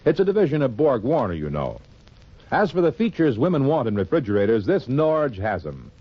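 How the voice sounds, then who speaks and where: Animated old-time radio announcer man. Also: medium pitch, fairly quick, slightly rough. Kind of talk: 1940s-50s radio announcing and sponsor reading. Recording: dull, noisy old radio recording.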